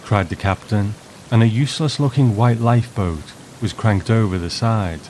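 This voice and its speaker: A man's voice: lowish voice, moderate pace, darkish tone, slight background noise, rough recording. A man hosting and narrating from a script, reviewing and reading a story aloud. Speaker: host and narrator. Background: music.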